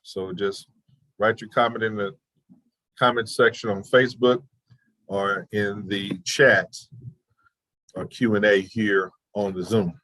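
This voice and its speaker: A man with a drawl hosts a webinar over a video call, talking in a relaxed, folksy way. His voice is dry and close. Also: fairly low pitch, slow pace, pauses often, dry recording, low-quality recording. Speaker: webinar host. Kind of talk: casual chat.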